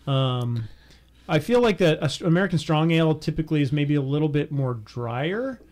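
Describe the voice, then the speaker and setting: Higher-voiced man, casual podcast co-host. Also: lively intonation, moderate pace, a little mellow. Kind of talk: casual panel chat about beer.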